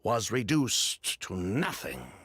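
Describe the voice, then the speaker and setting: A man's voice: lowish voice, huge pitch swings, slow pace, fairly bright tone, slightly rough, no echo, studio quality, heavily acted. An actor playing a guarded, weary middle-aged male merchant in a dramatic video game. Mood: grave recounting.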